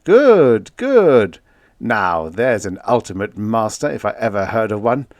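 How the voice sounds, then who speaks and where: A man's voice: on the low side, big pitch swings, measured pace, a little mellow, dry recording. A man voicing a genial, avuncular elder president in an audiobook, with an emphatic, hearty manner. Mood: hearty, eager. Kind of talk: audiobook character acting.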